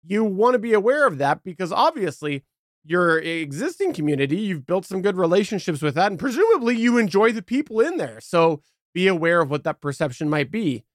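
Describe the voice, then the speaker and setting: A man hosting a podcast discussion, explaining points in a measured, methodical and tentative way. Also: sits high, very animated, no echo, studio quality. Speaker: podcast host.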